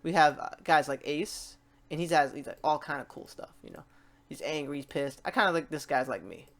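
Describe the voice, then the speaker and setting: An informal tutorial host with a middling pitch walks viewers through software step by step. The delivery is animated and slangy, often slipping into acted-out questions and exclamations from a learner. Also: fairly quick.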